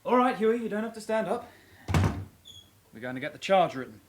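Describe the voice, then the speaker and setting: An actor playing a young British detective constable in a radio drama, a calm sidekick with a measured, official way of talking. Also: male voice, sits high, a little hiss or hum. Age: young adult.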